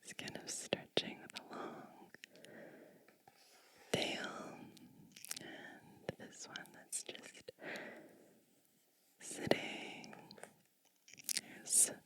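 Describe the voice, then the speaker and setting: Very slow, whispering woman doing ASMR. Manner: hushed, unhurried, pausing between short phrases. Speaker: ASMR host reading children's storybooks. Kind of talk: whispered ASMR, introducing a storybook.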